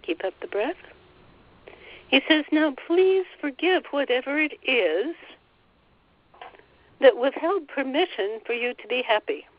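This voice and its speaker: Slow, soothing woman guiding meditation. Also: sits high, big pitch swings, dull audio. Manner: gentle, soothing, repeats phrases. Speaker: spiritual teacher leading a meditation. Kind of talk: guided meditation, relaying a guide's words.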